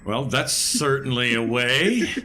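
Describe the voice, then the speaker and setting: A man playing a tabletop game, chatting casually and thinking aloud in halting restarts. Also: mid-range voice, sing-song, sharp tone, a little hiss or hum.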